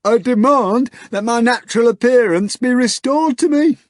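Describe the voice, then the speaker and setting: A man voicing a pompous, flustered middle-aged man turned into a flying hog, with hoarse, grunting, pleading protests. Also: extremely high pitch, moderate pace, no room sound, studio quality, voice pushed up. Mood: indignant. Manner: stiffly formal protests.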